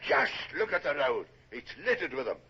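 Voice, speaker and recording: Husky, excitable British naval officer. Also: male voice, on the high side, moderate pace, heavily acted. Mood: blunt, eager. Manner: blunt, excitable. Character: gruff, hearty British naval officer, eager for battle. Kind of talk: radio drama acting. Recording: dull vintage radio recording.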